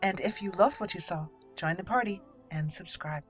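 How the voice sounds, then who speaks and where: A woman's voice: lowish voice, normal speed. A woman giving casual let's play commentary as the channel's host, chatty and thinking aloud. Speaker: host of a game roleplay channel. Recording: voice over soft game music. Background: game music.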